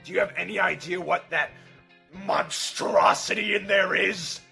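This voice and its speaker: A man playing a bitter, hot-headed, foul-mouthed detective in a radio drama, seething in a gravelly, forceful voice. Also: high voice, measured pace, soft background music, heavily acted. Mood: furious. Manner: explosive, seething. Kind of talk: amateur radio drama acting.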